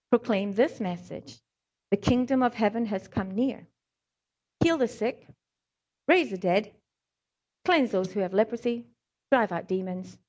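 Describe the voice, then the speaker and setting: A woman's voice: mid-range voice, darkish tone, highs cut off. A middle-aged woman with a light Scottish and South African accent gives an informal sermon, reading scripture and telling stories with frequent ums and wry humor. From born in Scotland, raised in South Africa. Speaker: lay preacher, hand therapist. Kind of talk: conversational sermon with anecdotes.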